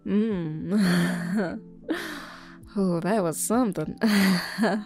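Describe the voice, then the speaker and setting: A woman voicing a flirty, teasing adult server in an intimate audio roleplay, talking in a breathy whisper full of endearments. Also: mid-range voice, extremely slow, a little mellow, soft background music. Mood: languid.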